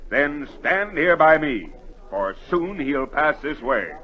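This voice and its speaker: A man's voice: a little high, very animated, takes it slowly. An actor playing a fussy, inquisitive townsman of a storybook kingdom in 1940s radio drama style. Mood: fussy, excited. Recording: muffled 1940 radio recording.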